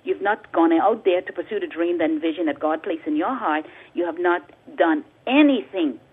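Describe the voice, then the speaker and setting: A woman's voice: fairly low pitch, normal speed, slightly rough. Earnest woman preaching over a phone line. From Bahamas. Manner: earnest, preacherly, repeats words for emphasis. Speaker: guest author. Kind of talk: interview on a talk radio show. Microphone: phone call.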